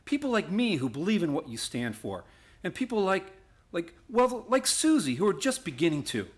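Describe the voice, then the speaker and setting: A man acts a loyal young male lawyer in a stage-style radio play. His voice sits a bit high and moves with a lot of animation. He comes across earnest, quick-witted and emphatic. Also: very animated, husky, exaggerated voice. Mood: concerned, pleading.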